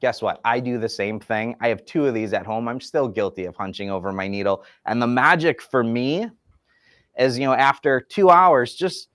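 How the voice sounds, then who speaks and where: A man's voice: medium pitch, normal speed, a bit bright, no room sound, clean studio sound. A man giving an enthusiastic, explanatory product demonstration in a casual chat, with a folksy manner. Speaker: furniture company representative.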